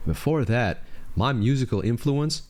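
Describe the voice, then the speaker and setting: A man in his thirties from Belgium, speaking English, hosting a casual reaction video in a relaxed, chatty way with fillers and restarts. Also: medium pitch, measured pace.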